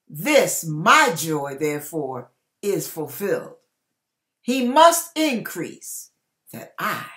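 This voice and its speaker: An older woman reads scripture aloud in a dramatic, preacherly style. Her voice sits a little low and swings widely in pitch. She goes very slowly and deliberately, stretching key words for emphasis. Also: fairly bright tone. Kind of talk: dramatic Bible reading. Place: small indoor room with curtain behind.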